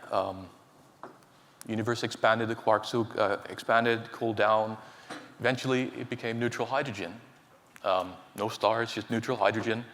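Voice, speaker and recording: A man from Finland giving a technical conference talk in a large hall, speaking haltingly with many fillers and repeated words. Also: lowish voice, fairly bright tone, a little hiss or hum. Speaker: conference speaker, associate professor. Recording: reverberant hall, faint ambience.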